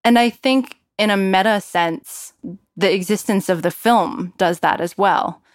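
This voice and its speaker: A woman's voice: mid-range voice, unhurried, dry recording, studio quality. A woman with an American accent telling personal stories in a conversational, candid and wryly self-deprecating way. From San Francisco Bay Area, California. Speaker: personal storyteller. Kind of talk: conversational personal narrative commentary. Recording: music bed under the voice. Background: music.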